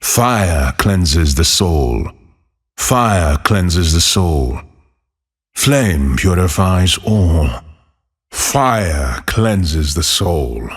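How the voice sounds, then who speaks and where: An actor playing a solitary, haunted former monk turned lawless desert wanderer in a video game, speaking in a solemn, measured, husky voice. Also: male voice, low-pitched, expressive, exaggerated voice. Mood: ominous. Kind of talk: scripted game character voicelines.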